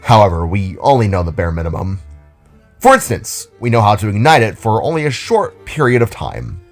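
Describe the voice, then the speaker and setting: A man's voice: lowish voice, very animated, normal speed, faint music behind. A man voicing an older, kindly and enthusiastic robot teacher with a theatrical, explanatory way of talking. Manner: showy, explanatory.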